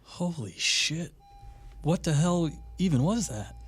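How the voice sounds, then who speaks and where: A man's voice: a little high, animated, takes it slowly, a little hiss or hum. An actor playing a skeptical, uneasy and blunt man in a naturalistic audio drama. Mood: skeptical, rattled. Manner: blunt, questioning.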